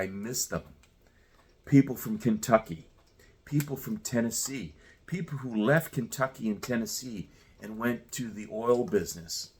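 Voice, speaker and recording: A man's voice: fairly low pitch, fairly bright tone, a little hiss or hum. A man in his sixties delivering a profane, confrontational rant straight at the listener. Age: 60s. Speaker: commentator. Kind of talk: ranting monologue.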